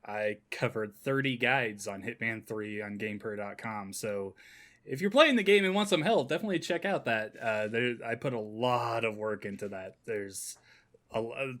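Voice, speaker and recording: Mid-pitched man in casual gaming chat. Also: normal speed, fairly bright tone. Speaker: podcast co-host.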